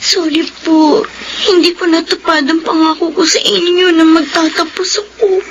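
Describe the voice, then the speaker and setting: A woman's voice: very high-pitched, flat delivery, normal speed, a little hiss or hum, rough recording. A woman acting a tearful young pregnant student in a radio drama, apologetic and respectful as she cries. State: crying.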